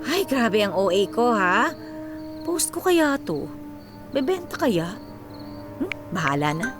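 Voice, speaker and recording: An actress playing an overworked young millennial daughter with a Filipino accent, theatrical and sarcastic in a comedic radio drama. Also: female voice, a little high, very animated, slow pace. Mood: mock-sincere. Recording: music behind the voice.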